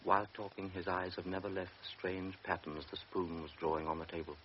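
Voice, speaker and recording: A man narrating a radio drama in a measured, quiet way. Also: low-pitched, flat delivery, very noisy. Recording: dull old radio recording with music.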